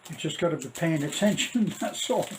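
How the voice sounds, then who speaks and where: A middle-aged man giving a casual, rambling unboxing commentary, halting and thinking aloud as he goes. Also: on the high side, a little hiss or hum. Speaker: vlogger.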